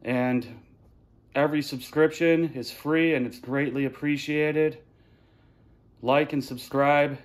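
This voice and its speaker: A man, casually showing off his living room decor on a phone camera in a plainspoken, relaxed way. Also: a little high, slow pace, long pauses. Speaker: fishing channel host. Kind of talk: casual show-and-tell vlog. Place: living room at home, indoors. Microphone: handheld phone camera.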